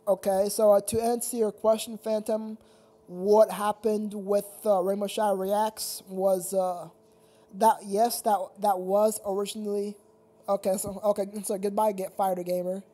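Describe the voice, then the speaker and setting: A livestream host chatting casually with viewers in a halting, repetitive way, often addressing them as sir. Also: medium pitch, little pitch movement, mellow.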